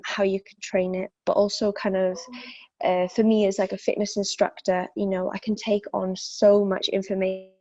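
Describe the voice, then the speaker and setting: A woman's voice: mid-range voice, dark tone, no echo, dull audio. A young woman from South Wales with a Welsh accent, answering interview questions as a fitness instructor, thoughtful and full of fillers and restarts.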